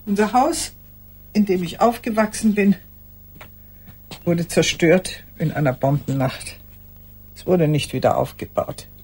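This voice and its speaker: An actress playing an old woman in a care home, speaking a radio drama monologue in a deliberate, formally polite way to a silent listener. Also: on the low side, darkish tone, slightly rough. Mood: sober, remembering. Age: older.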